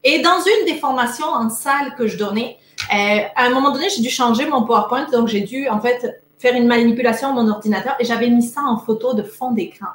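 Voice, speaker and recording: Upbeat french woman, montpellier accent gone half québécois, bit high voice, echoey room. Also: a little fast. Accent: Montpellier French mixed with Québécois. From France. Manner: chatty, upbeat, lots of Québécois fillers. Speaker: productivity coach. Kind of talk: casual interview. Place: Québec.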